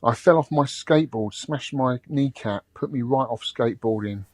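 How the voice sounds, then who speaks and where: A British man co-hosting a podcast, relaxed and easygoing as he chats and reads out listener comments. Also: mid-range voice, moderate pace, no room sound. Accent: British English. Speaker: podcast co-host. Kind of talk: casual chat, reading listener comments.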